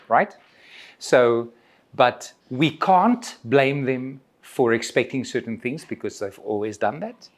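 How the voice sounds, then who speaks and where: A man answers questions from a group as their coach. His voice sits at an ordinary pitch. He speaks in a reassuring way and often checks that his listeners follow him.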